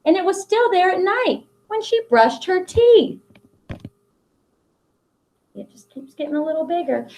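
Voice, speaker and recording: This is a middle-aged American woman with a high-pitched voice. She talks as a school principal does when reading a picture book to young children. Her intonation is lively and wide-ranging, with simple, deliberately expressive phrasing.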